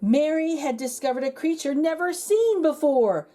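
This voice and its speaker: Expressive high-pitched woman reading a storybook. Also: faint music behind. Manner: expressive, dramatic emphasis with pauses and hushed asides. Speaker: read-aloud host and storyteller. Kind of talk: children's picture book read-aloud.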